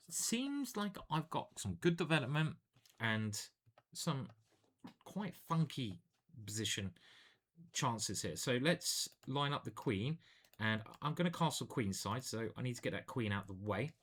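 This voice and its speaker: A man's voice: medium pitch, fairly bright tone. A man, a chess grandmaster, casually commentating his own online games in a playful, chatty way. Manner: playful and chatty, hums and sings little jingles.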